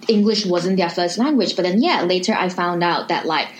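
A woman's voice: on the low side, moderate pace. Chatty young woman. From Malaysia. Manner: chatty, explains at length with many "like"s. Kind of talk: casual conversation.

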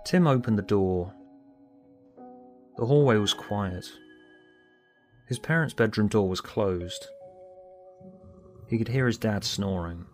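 A man's voice: lowish voice, takes it slowly, long pauses, soft background music. A man reading scripted story narration as an audiobook narrator. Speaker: author and narrator.

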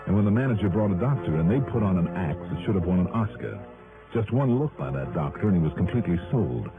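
A man's voice: deep voice, lively intonation, fast talker. An actor playing a self-assured American investigator, narrating his case in a wry, conversational 1960s radio style. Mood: wry, satisfied. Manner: wry, conversational, punchy storytelling. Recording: tinny old radio broadcast with music.

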